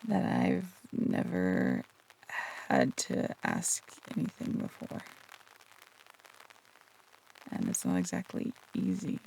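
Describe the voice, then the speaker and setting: A performer playing a flirtatious, teasing phantom thief in an intimate roleplay audio drama, breathy and coy, with casual, earnest turns. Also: mid-range voice, a little mellow, slight background noise, heavily acted, low-quality recording.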